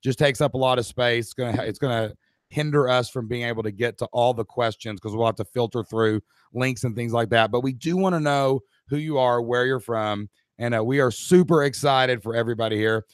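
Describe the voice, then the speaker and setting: A middle-aged man, hoarse-voiced, co-hosting a live online Q&A and talking straight to the chat audience in an upbeat way. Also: mid-range voice, steady tone, a little fast, no room sound, clean studio sound.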